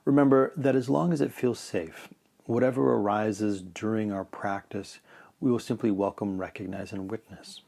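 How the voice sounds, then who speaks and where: A man leading a guided meditation in a calm, measured way, letting phrase ends trail off softly. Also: lowish voice, creaky.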